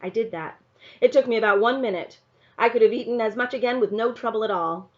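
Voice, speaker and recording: A woman reading a novel aloud in an expressive storybook narration style. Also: fairly high pitch, fairly quick, lo-fi sound.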